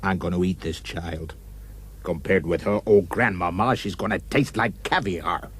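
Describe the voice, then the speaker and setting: A man voicing a greedy, menacing wolf villain in a storybook puppet show, with theatrical, gloating, drawn-out taunts. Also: low voice, a bit bright, breathy edge, heavily acted. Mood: sly, menacing.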